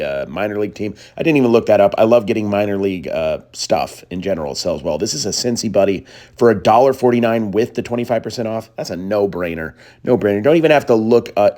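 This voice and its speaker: A man narrating a thrift-store reselling vlog as a late-night voiceover, tired and with his voice a little worn, talking casually and addressing viewers as guys. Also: a little mellow. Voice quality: deeper voice than usual. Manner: chatty, trails off and restarts, addresses viewers as guys. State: tired, voice a bit gone.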